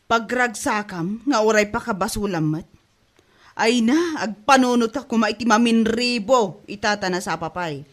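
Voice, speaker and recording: A woman's voice: fairly high pitch, expressive, moderate pace, a bit bright. A stern older mother in a radio-style drama played by a woman, full of reproach. She has an Ilocano accent and a disapproving, moralizing manner. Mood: scolding. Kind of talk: Ilocano audio drama acting.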